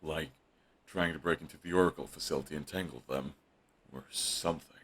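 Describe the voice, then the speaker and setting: A man playing a husky, sarcastic villain. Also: a bass voice, fairly quick, fairly bright tone, heavily acted. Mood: irritated. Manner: sarcastic, dismissive. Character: snarky, grumpy male supervillain, middle-aged. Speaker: voice actor. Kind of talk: audio roleplay drama.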